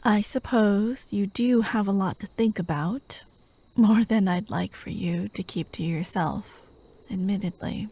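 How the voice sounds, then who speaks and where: A woman playing a doting, possessive female hypnotist in a soft-spoken ASMR roleplay, gentle and coaxing, often slipping into a whisper. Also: medium pitch, measured pace, slightly rough, dry recording. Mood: soothing, wistful. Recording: steady outdoor night ambience, chirping crickets. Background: crickets and night insects.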